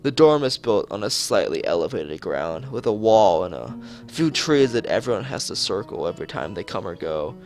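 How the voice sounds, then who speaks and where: A man's voice: lowish voice, big pitch swings, normal speed, darkish tone, soft background music. A man streaming a visual novel, reading the story aloud expressively with occasional asides.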